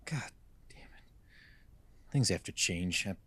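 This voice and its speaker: A man's voice: sits low, animated, slow, a bit bright, husky, in a whisper, heavily acted. A man playing a frustrated, bitter small-town mechanic who grumbles and swears to himself in a radio mystery drama. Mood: angry.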